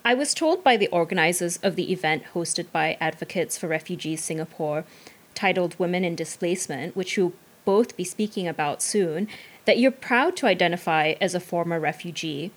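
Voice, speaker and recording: A woman's voice: on the low side, lively intonation, moderate pace. A woman hosting an interview podcast, asking prepared questions in a friendly tone. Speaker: podcast host.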